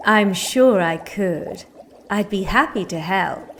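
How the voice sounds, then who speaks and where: A woman playing an eccentric, animated witch-alchemist. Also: medium pitch, slow pace, slight background noise. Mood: eager, musing. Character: eccentric one-eyed female witch-alchemist, spiritual and eager. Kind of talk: comic audio drama acting.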